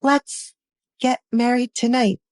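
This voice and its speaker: A woman as a resolute young noblewoman, higher-pitched. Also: level tone, takes it slowly, darkish tone, dry recording, studio quality. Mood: resigned. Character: hardened young noblewoman, bride-to-be defying her father. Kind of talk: audio drama storytelling.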